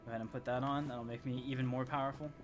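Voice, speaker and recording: A man's voice: mid-range voice, steady tone, rapid-fire. A man hosting a game livestream, chatting casually to viewers and rambling as he thinks aloud while playing. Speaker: livestream gamer host. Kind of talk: casual live gameplay commentary, chatting to viewers. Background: game music.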